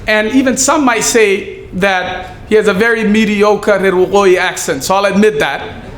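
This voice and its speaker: Man, higher pitch, earnest measured speech, echoey hall with light background hum. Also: fairly bright tone. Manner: earnest, measured delivery with some halting fillers. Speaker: city staffer giving a speech. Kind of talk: prepared public speech. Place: event hall. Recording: echoey room with faint ambience.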